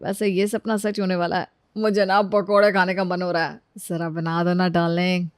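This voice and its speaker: A man's voice: high voice, flat delivery, moderate pace, smooth, no room sound, studio quality. A man voicing a playful young husband in a storybook, coaxing and teasing as he begs for food. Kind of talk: acted Hindi audio storybook dialogue.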